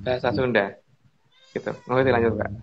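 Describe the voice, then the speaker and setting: A man's voice: medium pitch, steady tone, brisk, lo-fi sound. A man guest speaker explaining old lore in a casual, rambling way, full of fillers and restarts, politely addressing the host over an online call.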